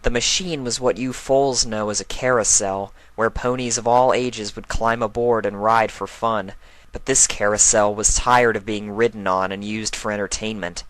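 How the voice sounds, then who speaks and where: A grown-up mare, put on by a storyteller, speaking a little above the narration with gentle firmness. The pony sounds like a watchful teacher spinning a cautionary tale, in a dry, close storybook recording. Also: medium pitch, steady tone, normal speed, dry recording, lightly acted, rough recording. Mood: ominous. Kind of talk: dramatised story reading, cartoon pony.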